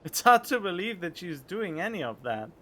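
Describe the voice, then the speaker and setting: Bright, excitable male game streamer. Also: sits high, moderate pace. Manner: excitable, theatrical, jokey. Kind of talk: playful gameplay commentary, reading dialogue choices and reacting. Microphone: close studio microphone. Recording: game music behind the voice.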